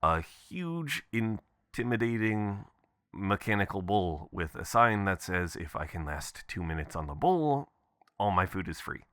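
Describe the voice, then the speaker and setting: A man's voice: fairly low pitch, unhurried, no echo, studio quality. A man playing an adventurous, affectionate boyfriend leaving casual, playful voicemails in an intimate roleplay style. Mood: sheepish, amused. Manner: casual, expressive, chuckling, swearing. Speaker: audio performer.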